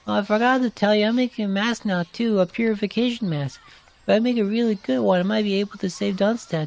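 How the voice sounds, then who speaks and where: A woman's voice: mid-range voice, creaky. A woman voicing a worried young girl with an earnest, pleading manner in narrated fantasy game dialogue. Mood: upset, insistent.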